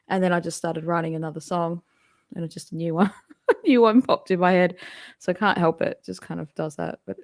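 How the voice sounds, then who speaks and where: Western Australian woman, musician hosting a live fan chat from home, slightly low voice, loose and laughing, lots of fillers. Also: darkish tone. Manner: chatty and rambling, laughs often, many ums. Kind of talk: casual live-stream chat. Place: home, indoors. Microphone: desktop microphone at a computer.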